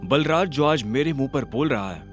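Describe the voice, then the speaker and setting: A man as a composed, firm young fighter, lowish. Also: lively intonation, moderate pace, faint music behind, natural voice. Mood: defiant. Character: self-assured, defiant young male fighter, calm and terse. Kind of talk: Hindi audio drama character voice.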